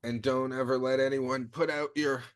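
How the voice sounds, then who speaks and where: A man's voice: mid-range voice, level tone, normal speed, natural voice. A man reading the part of a wry, profane action-hero sidekick in a comedy table read. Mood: dying, earnest.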